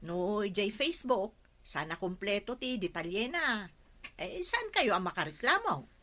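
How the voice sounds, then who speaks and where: Bright-voiced Ilocano delivery worker. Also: female voice, mid-range voice, big pitch swings, normal speed. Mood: defensive. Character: defensive parcel delivery worker, plain-spoken. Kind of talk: Ilocano radio comedy drama acting.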